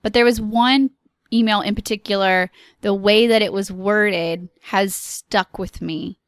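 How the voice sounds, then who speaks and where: A woman hosts her own podcast and talks slowly and earnestly, with a mid-range voice. She pauses often and says um a lot as she encourages her listeners. The sound is dry and close. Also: medium pitch, fairly even intonation, smooth, no room sound. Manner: earnest, encouraging, slow with pauses and filler ums. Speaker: podcast host. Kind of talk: solo podcast monologue.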